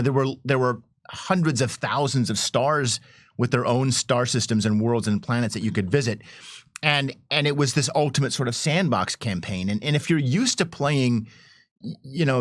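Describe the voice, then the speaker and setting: Middle-aged man, mid-pitched, casual panel storyteller with halting restarts, dry recording. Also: dry recording. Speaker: game developer on a panel. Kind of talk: casual chat.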